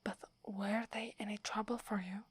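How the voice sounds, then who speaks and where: A woman with an Italian accent voicing a loyal, deferential young werewolf warrior in a breathy, hesitant ASMR whisper roleplay. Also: mid-range voice, little pitch movement, moderate pace, dry recording. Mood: relieved, reflective. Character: loyal, eager young female werewolf warrior devoted to her alpha.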